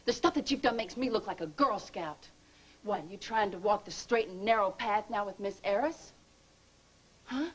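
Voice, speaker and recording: A bitter, scheming soap-opera lover. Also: female voice, medium pitch, expressive, moderate pace, husky, low-quality recording. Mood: taunting. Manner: taunting, bitter, emotional. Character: bitter scheming woman, former nurse-accomplice, hurt and vengeful. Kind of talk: daytime soap drama acting.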